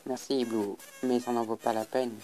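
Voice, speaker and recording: Amateur actor as downcast skeleton brother, gentle, resigned, middling pitch, clear music behind. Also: flat delivery, normal speed, close to an everyday voice, rough recording. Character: downcast tall skeleton brother, self-deprecating about his cooking. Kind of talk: amateur French comic dub.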